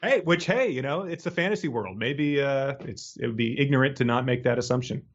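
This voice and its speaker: A man's voice: mid-range voice, expressive, brisk, lo-fi sound. A man co-hosting a podcast in casual comedic chat, riffing and often laughing as he talks. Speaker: podcast co-host.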